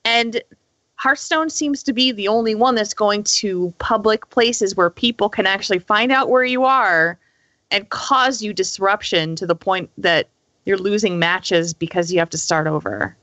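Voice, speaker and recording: Bright-voiced woman in casual discussion. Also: medium pitch, measured pace, dry recording, dull audio. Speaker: podcast panelist.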